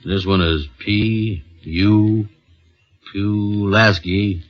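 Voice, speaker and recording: Low-voiced, slow-witted, folksy family friend. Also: male voice, steady tone, faint music behind. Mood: curious. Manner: folksy, ungrammatical, puzzled drawl. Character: slow-witted, good-natured family friend, adult man. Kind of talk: 1940s radio comedy-drama acting. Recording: dull old 1940s radio broadcast.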